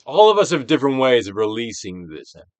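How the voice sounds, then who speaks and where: A man giving a dramatic, theatrical reading of a self-help book as a guided exercise, with deliberate, swelling and falling emphasis. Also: medium pitch, big pitch swings, no echo. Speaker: narrator, audiobook reader.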